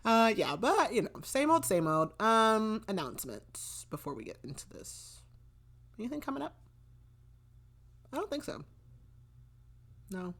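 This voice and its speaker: A woman's voice: medium pitch, very animated, measured pace, gravelly, pauses often, fairly bright tone. A woman co-hosting a book podcast in casual chat, laughing often and trailing off mid-sentence. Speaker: podcast co-host.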